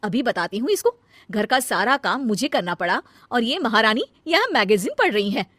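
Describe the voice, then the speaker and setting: Woman acting a high-pitched, dutiful elder daughter-in-law. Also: big pitch swings, moderate pace, very noisy. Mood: scolding. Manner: earnest, emphatic, scolding when annoyed. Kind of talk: acted Hindi family comedy story.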